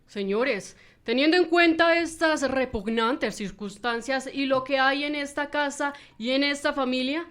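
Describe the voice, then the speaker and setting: A woman acting as a formal, declamatory, indignant lodger in an amateur radio drama. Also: sits high. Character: indignant, formal male lodger renting a room, later alarmed maid.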